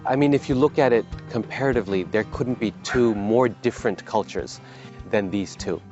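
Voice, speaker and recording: A man speaks in a lowish voice, reflecting in a documentary interview. Plucked guitar plays clearly under the dull, lo-fi sound. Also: moderate pace, a little mellow. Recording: lo-fi with guitar music.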